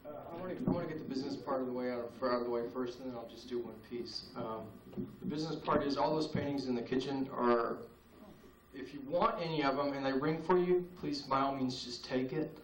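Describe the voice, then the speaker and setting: A man performing spoken-word poetry at an open mic, with a slow, solemn, incantatory delivery and long pauses. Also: medium pitch, a loud crowd. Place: open mic night in a cafe. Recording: roomy, distant VHS tape recording.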